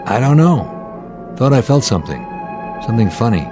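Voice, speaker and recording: An actor playing a middle-aged airline captain, uneasy but steady, in a radio drama. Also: male voice, medium pitch, normal speed, over music, close to an everyday voice. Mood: uneasy, puzzled.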